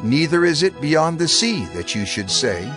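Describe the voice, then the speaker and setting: An elderly prophet addressing his people in a scripture drama. His delivery is earnest and emphatic, with lively, wide-swinging intonation over clear background music. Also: fairly high pitch, moderate pace. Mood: earnest, urgent.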